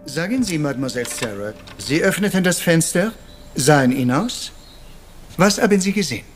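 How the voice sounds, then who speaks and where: An actor playing a vain, fussy middle-aged detective in a radio crime drama, formal and theatrical. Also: male voice, a little high, very animated, normal speed, soft background music. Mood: courteous, probing. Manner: formal and theatrical, sprinkled with French courtesies.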